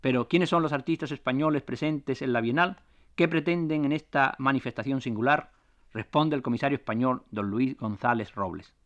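A man's voice: mid-range voice, moderate pace, a bit bright, no room sound. Man on a dull lo-fi archive recording.

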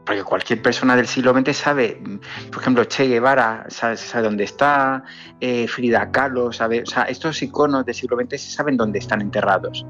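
A man's voice: medium pitch, normal speed, fairly bright tone, lo-fi sound, soft background music. A man from Andalusia who is a film director, answering interview questions thoughtfully, with frequent restarts and fillers.

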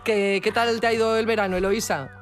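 Brief: chatty Navarrese Spanish woman radio host, quick delivery, music bed behind. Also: medium pitch, fairly even intonation. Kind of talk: casual on-air chat. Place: radio studio.

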